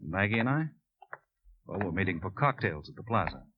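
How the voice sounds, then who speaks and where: A calm, level-headed lawyer in a fifties radio play. His voice is fairly low, and the old recording sounds dull. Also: fairly quick, a little hiss or hum. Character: level-headed lawyer friend, advising calmly. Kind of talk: 1950s radio drama acting.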